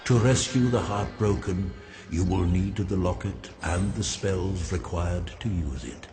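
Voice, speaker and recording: Ancient tree guardian, very deep, ponderous, formal, stern yet kindly, fantasy game. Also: male voice, over music. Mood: solemn, benevolent. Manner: slow, grave, formal and deliberate. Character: ancient wise talking oak tree, kindly but stern. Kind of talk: animated fantasy game voice acting.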